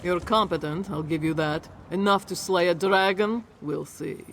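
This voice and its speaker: Man as pragmatic profiteer, blunt, sober, fairly high pitch, game dialogue, faint background noise. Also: fairly even intonation, moderate pace, a bit bright. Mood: grim, resolute. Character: grim pragmatic profiteer, ready for the worst. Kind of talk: scripted video game dialogue.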